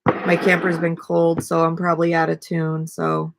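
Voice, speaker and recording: A woman in her forties with a raspy voice, chatting casually and rambling on a live stream from a small camper. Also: lowish voice, moderate pace, noisy background. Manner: relaxed, rambling, self-deprecating. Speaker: vlogger and ukulele player. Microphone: webcam at desk.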